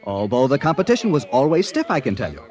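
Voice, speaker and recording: An actor playing a chatty, self-satisfied American store clerk on holiday, narrating a 1940s radio drama in a genial, prim way. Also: male voice, mid-range voice, wildly swooping pitch, quick pace, darkish tone. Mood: smug, genial.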